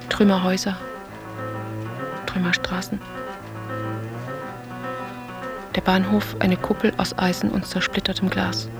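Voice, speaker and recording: A woman playing a lonely, dreamy lover in a literary radio play, speaking her inner thoughts in hushed, breathy fragments. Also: medium pitch, close to an everyday voice. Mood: pensive remembering. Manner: hushed, introspective, short fragmentary phrases.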